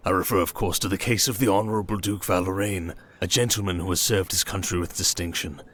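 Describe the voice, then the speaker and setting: A man playing a stern, scheming old king in a fantasy audio drama, speaking in pompous, formal, measured accusations. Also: low-pitched, steady tone, fairly bright tone. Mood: cold accusation.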